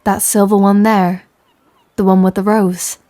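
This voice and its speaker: A woman's voice: medium pitch, level tone, unhurried, warm tone, no room sound, natural voice. A woman playing a tender but commanding female pirate captain in an intimate audio roleplay, with a stage pirate accent and teasing sailor slang. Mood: teasing, insistent. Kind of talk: scripted roleplay audio, intimate second-person address.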